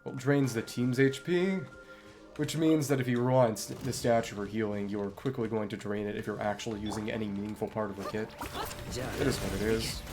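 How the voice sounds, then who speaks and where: A man's voice: lowish voice, steady tone, a little fast, a little mellow. A man giving casual gameplay commentary as a streamer, explaining mechanics in a wordy, understated way over game music. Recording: music behind the voice.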